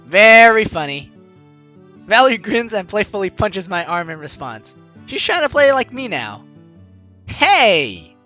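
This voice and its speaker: A man's voice: high voice, big pitch swings, measured pace, sharp tone, lo-fi sound, soft background music. A man hosting a let's play, reading game text aloud and adding jokey, theatrical, exclamatory commentary. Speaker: gaming video host.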